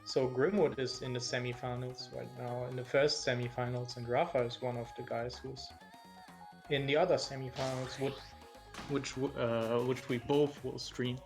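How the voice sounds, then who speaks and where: A man's voice: medium pitch, steady tone. German-accented man calling a match. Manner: quick, engaged play-by-play, reacting to the action. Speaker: esports commentator. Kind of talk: live play-by-play commentary. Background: game music.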